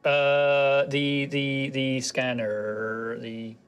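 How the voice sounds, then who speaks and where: A man improvising casually as a player in a tabletop roleplaying game, speaking in a halting way. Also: medium pitch, completely flat delivery, fairly bright tone, clean tone. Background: music.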